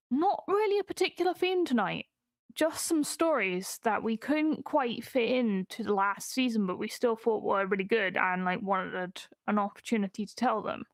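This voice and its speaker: Woman co-hosting a chill stream, slow friendly talk, dry mic. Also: mid-range voice, no room sound. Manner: friendly, reads out viewer names. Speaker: co-host of a storytelling stream. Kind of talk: casual chat.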